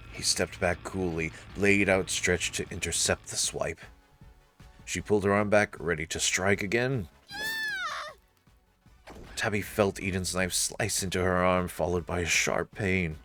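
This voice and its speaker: A man's voice: deep voice, a bit bright. A man narrating a visual novel as a dramatic story, reading aloud for a let's play.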